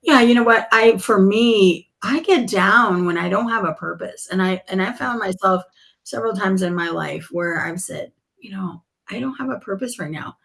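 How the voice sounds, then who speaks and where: Creaky-voiced middle-aged woman, native Spanish speaker. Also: mid-range voice, moderate pace, hardly any pauses, a bit bright. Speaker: podcast guest. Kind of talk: casual video-call chat.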